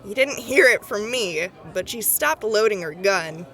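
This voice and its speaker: A woman's voice: mid-range voice, lively intonation, a little slow, a bit bright, some chatter behind. A woman voicing a cheerful, gossipy clerk going over paperwork in an audio drama. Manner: bubbly and gossipy.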